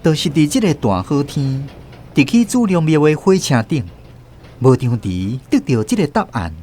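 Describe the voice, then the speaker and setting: 一位台灣口音的男演員，在文學廣播劇中以第一人稱扮演回憶童年的敘事者，富有表情地說故事。. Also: male voice, fairly high pitch, big pitch swings, normal speed, a little mellow. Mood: wistful, dramatic. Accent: Taiwanese. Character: grown narrator recalling a childhood train ride with mother. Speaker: narrator. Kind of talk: literary radio drama narration in first person.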